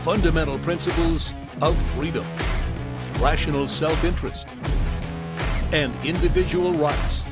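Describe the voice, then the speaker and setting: Male announcer, fairly high voice, scripted show intro, loud guitar music behind. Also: steady tone, unhurried, pauses often. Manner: announcing slogan lines.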